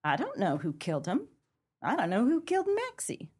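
A woman's voice: on the high side, huge pitch swings, moderate pace, darkish tone, husky, full character voice. A woman playing a hot-tempered, defiant gangster's moll in a 1930s pulp crime radio play, tough-talking and slangy. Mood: defiant, protesting.

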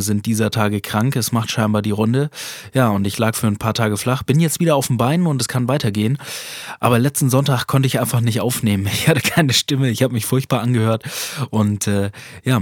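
A man's voice: mid-range voice, no echo, clean studio sound. A man hosting a casual solo podcast, chatty with many fillers and easy laughs, his nose still stuffy from a cold. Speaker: podcast host. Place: small home studio.

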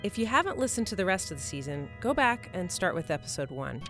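A woman's voice: fairly low pitch, very animated, fast, fairly bright tone, soft background music. A woman giving a scripted podcast introduction as the creator and host of a serial drama.

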